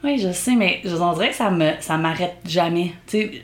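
A young woman with a Québécois accent from Bellechasse, co-hosting a casual podcast chat, laughing often and bantering quickly into a close mic. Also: lowish voice. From Quebec. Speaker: podcast co-host.

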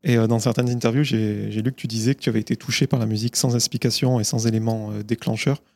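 A man hosting an interview podcast, reading a quick scripted introduction and then asking friendly questions. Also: lowish voice, fairly even intonation, darkish tone, no room sound. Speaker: podcast host.

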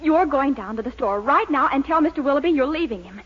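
Sweet young girlfriend, high and bouncy, doting, 40s radio sitcom, dull old recording. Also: expressive, quick pace. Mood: eager, excited. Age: young adult. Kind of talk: 1940s radio sitcom acting. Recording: muffled, noisy old radio broadcast, audience present.

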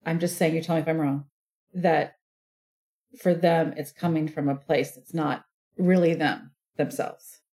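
A woman's voice: deep voice, steady tone, a little slow, warm tone. A woman conducting a casual interview in an encouraging, affirming way, often rephrasing what she hears.

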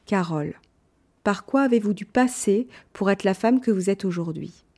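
A woman hosts an interview, posing questions in a calm, measured way. Her voice has a middle pitch with a mellow, warm tone. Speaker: podcast host.

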